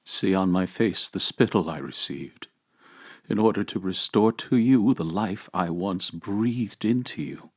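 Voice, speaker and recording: A man's voice: low voice, a little slow, fairly bright tone, full character voice. A man voicing a majestic, commanding yet tender Christ among the dead in a solemn, declamatory dramatic reading of a homily. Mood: grave, tender.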